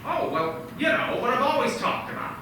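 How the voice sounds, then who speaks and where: A man's voice: extremely high pitch, very animated, moderate pace. An actor playing a restless young man who dreams of leaving his small town, earnest and eager, sometimes stammering. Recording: echoey room, far from mic, noisy.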